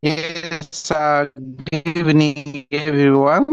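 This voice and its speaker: A man from Uganda introducing himself haltingly on an online meeting with a poor connection. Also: a little high, little pitch movement, clear, resonant tone, no echo. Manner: halting, slow, with long pauses. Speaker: course participant. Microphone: video call. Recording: dull, distant, choppy internet call.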